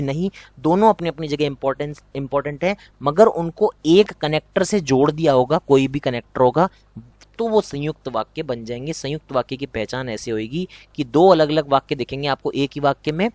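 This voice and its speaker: A young man with a Rajasthani accent teaches an exam prep lesson in a slightly higher than usual voice. He drums in key points by repeating them and tossing rhetorical questions at his students. The sound is dry and close, with no room in it. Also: darkish tone, no room sound.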